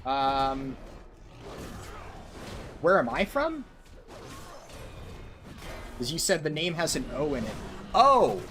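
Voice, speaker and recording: A man's voice: a little high, sing-song, slow, long pauses, fairly bright tone, a little hiss or hum. A man live-streaming a game, chatting with viewers in a casual way with lots of ums and likes. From United States. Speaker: livestreaming gamer.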